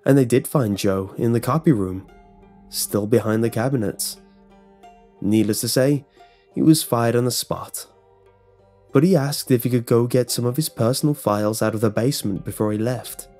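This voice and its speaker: A man plays a wry older male co-worker in a horror story, gossiping in a conversational, rambling way. His voice is mellow and mid-pitched, with faint music behind it. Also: normal speed, lightly acted. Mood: grimly gossiping. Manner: casual, conversational, rambling recollection.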